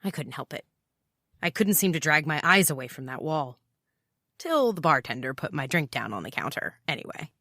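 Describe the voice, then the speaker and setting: An actress playing a guarded, wry private eye in an understated noir audio drama. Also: female voice, deep voice, big pitch swings, normal speed, sharp tone, no room sound, studio quality, full character voice. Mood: guarded, brooding. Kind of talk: noir first-person audio drama narration and dialogue.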